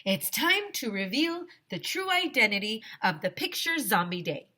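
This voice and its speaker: Storybook schoolboy, played by a woman reader: cocky, dramatic, exclaiming, wide pitch swings. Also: very animated, moderate pace, exaggerated voice. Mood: dramatic announcing. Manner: shouting, exclaiming.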